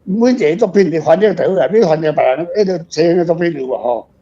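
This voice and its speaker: A man's voice: fairly high pitch, fast talker, mellow, dull audio. A man with a Taiwanese accent talking informally and emphatically, explaining his views in an instructive, repetitive way. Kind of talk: informal opinionated discussion of translation.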